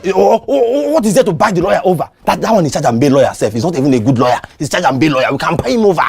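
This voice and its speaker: A man's voice: a little high, sing-song, rapid-fire, gravelly, husky, soft background music, full character voice. A man with a Nigerian accent playing a cowardly, wheedling neighbour in a comedy skit, stammering and repeating himself to placate. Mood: scheming.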